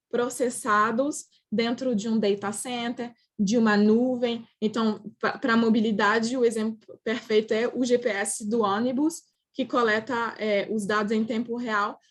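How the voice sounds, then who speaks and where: Woman, French accent, non-native speaker, fairly high voice, hesitant video-call presenter. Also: fairly bright tone. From France. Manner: hesitant, frequent filler "é" and restarts. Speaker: panelist. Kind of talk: online roundtable presentation.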